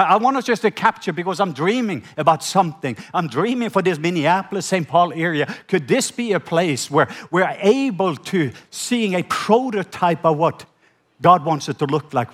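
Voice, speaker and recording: A middle-aged man from Norway with a Norwegian accent, preaching a sermon. He slows down to read scripture and has the congregation repeat key words back. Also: high-pitched, a bit bright. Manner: slows down when reading scripture, prompts the audience to repeat words. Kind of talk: sermon with scripture reading and call-and-response.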